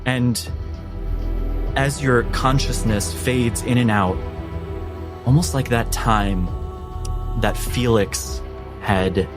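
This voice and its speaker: A man narrating a tabletop roleplaying game as game master, with dramatic, deliberate phrasing. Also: on the low side. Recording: music behind the voice.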